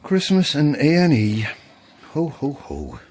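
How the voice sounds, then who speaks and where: Husky, protective boyfriend. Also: male voice, a little high, huge pitch swings, takes it slowly, a little mellow, heavily acted. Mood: concerned. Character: protective, plain-spoken boyfriend, concerned and wry. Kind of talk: dramatic audio fiction acting.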